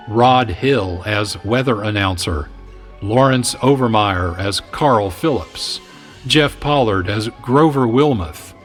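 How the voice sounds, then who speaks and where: A man hosting a radio show, reading scripted announcements in a measured, formal way. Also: mid-range voice. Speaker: radio show producer and host.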